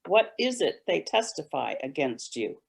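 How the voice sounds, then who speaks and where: A woman playing an accusing high priest in an amateur scripture reading, stern and interrogating. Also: sing-song, unhurried, creaky, slightly rough, exaggerated voice.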